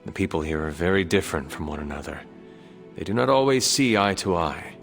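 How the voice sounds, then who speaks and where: Low-pitched warrior hero, solemn, formal, measured, courteous, game cutscene, music underneath. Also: male voice, fairly bright tone. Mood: earnest, reassuring. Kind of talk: video game cutscene acting.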